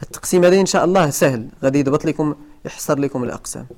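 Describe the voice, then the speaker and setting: A man's voice: fairly high pitch, racing, darkish tone. A man with a Moroccan accent teaching grammar to a class, asking students questions and repeating each point for emphasis. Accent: Moroccan Arabic. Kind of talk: classroom lesson.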